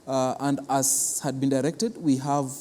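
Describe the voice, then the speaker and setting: A man with a Kenyan accent explains things as an interviewed official, speaking haltingly and repeating his words. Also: mid-range voice, steady tone, dark tone. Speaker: cultural institution official being interviewed. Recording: reverberant hall with clear background noise.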